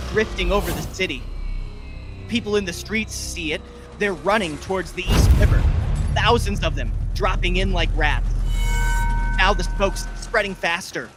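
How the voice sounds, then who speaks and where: An actor playing a grave, doomed newsman in an old-time radio drama, reporting in halting, clipped phrases. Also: high voice.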